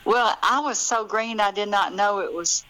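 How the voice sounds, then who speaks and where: A woman's voice: medium pitch, normal speed, pushed and bright, slight background noise, rough recording. An older American woman, telling stories conversationally as an author being interviewed.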